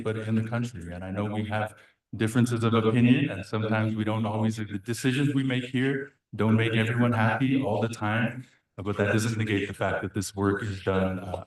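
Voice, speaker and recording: A man whose first language is Samoan speaks in a lowish voice at a council meeting. He is earnest and halting, with many pauses and restarts. The echoey chamber rings around him. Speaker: city council member. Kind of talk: farewell speech at a council meeting.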